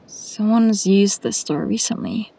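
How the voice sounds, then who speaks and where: Slow-spoken, righteous fantasy heroine played by a woman. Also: mid-range voice, gravelly, dark tone. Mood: wary. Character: tall, grieving, righteous young woman in inquisitor's disguise. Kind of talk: fantasy audio drama acting.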